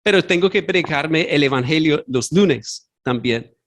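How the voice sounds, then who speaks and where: A man's voice: a little high, animated, measured pace, a bit bright. A man preaching a sermon as pastor, earnest, a non-native Spanish speaker who searches for words and corrects himself aloud. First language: not Spanish. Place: church sanctuary.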